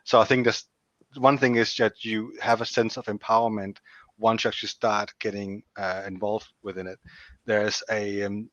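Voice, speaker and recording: A man's voice: on the low side, normal speed, no echo. Frank young Danish man on video call. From Denmark. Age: 20s. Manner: animated, frank, with many restarts. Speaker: youth organization chair, panelist. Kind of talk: online panel discussion. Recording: dull dry video call audio.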